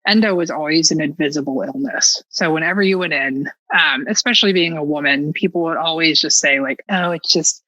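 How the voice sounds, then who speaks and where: A woman telling a personal story as a podcast guest in an animated, casual, self-mockingly dramatic way. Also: sits low, a little fast, hardly any pauses, dry recording, muffled recording.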